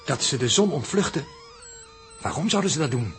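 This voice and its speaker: An actor playing a sober, analytical spaceship scientist who explains things in measured, precise 1960s Dutch radio drama style. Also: male voice, on the high side, sing-song, soft background music. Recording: old noisy 1960s radio recording.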